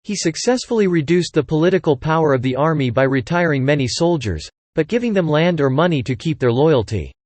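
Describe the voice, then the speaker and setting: A man's voice: on the high side, lively intonation, a little fast, hardly any pauses, a little mellow, dry recording. A man reading a scripted practice passage aloud as narration. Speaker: reader of exam practice passages.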